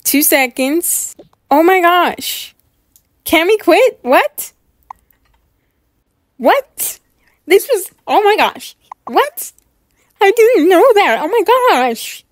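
Casting: female reaction host, high voice, excitable and gushing, chatty delivery, dry close sound. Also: drawn-out words, pauses often, no room sound. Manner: gushing, excitable, repeats words for emphasis. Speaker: host of a reaction video series. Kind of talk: casual chatty reaction commentary.

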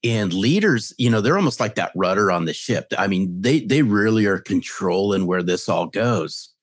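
A man's voice: lowish voice, lively intonation, moderate pace, breathy edge, no echo, studio quality. A middle-aged man co-hosting a podcast over a video call, making points emphatically and repeating them for stress. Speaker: podcast co-host. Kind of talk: podcast interview chat. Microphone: video call with headset.

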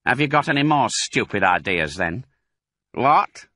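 A man's voice: medium pitch, sing-song, a little slow, sharp tone, no room sound, clean studio sound, pitched above the performer's own voice, full character voice. A man voicing a thin, filthy, deaf farmer in a storybook, mean and bossy, barking commands. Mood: irritated.

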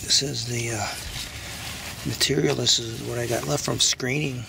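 A man with a heavily gravelly, creaky voice talks casually while he digs for worms in his yard after dark. He is recorded on a handheld camera outdoors. There is clear background noise and outdoor hiss behind him. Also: mid-range voice, normal speed. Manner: chatty, excited over big worms. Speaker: backyard gardener and angler. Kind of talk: casual narration while working. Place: backyard outdoors at night. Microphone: handheld camera mic. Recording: noisy outdoor night recording.

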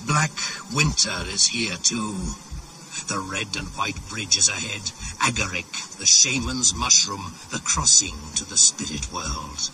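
A man's voice: fairly low pitch, animated, unhurried, noisy background. An actor playing an ancient pagan shaman spirit, proud and brooding, in a solemn incantatory radio play monologue. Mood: solemn, beckoning. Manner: incantatory, solemn, poetic delivery. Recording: 1980s radio drama with music behind.

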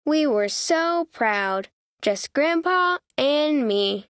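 A woman narrating a children's storybook in an animated, childlike way, as an eager young critter telling the story. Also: sits high, extremely slow, no echo, studio quality. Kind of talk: children's read-aloud narration.

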